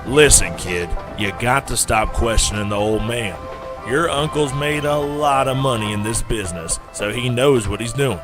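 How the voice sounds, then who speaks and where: A man's voice: mid-range voice, normal speed, over music, lightly acted. An actor playing a blunt, folksy veteran male wrestling crew boss in audio drama dialogue. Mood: blunt, advising.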